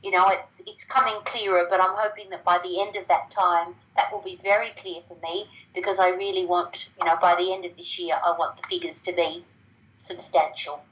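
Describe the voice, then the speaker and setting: Chatty Australian woman, lowish voice. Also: fairly even intonation, fairly quick, a bit bright, low-quality recording. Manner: chatty, with frequent ums and restarts. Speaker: guest sharing business results. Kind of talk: casual phone interview.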